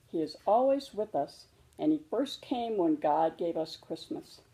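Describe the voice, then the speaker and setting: Storybook role: tender mama bear played by a woman, mellow and unhurried, patiently reassuring her young cub. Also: lowish voice, lively intonation, low-quality recording. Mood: tender, explaining. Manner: gentle, reassuring explaining. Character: patient, loving mother polar bear teaching her cub.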